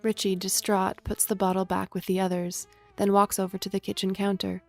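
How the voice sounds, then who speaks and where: A woman's voice: medium pitch, completely flat delivery, brisk, creaky, soft background music. A woman reading scripted stage directions as the narrator of an audio drama.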